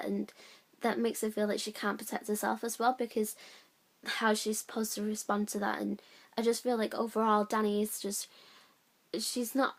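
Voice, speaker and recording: A young drama student talks through her audition choices, stopping and restarting with lots of fillers. Her voice sits in a middle pitch but moves very little, with a gravelly edge. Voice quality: high-pitched voice, by her own account. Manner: halting, with many "like" and "um" fillers. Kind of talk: reflective spoken account.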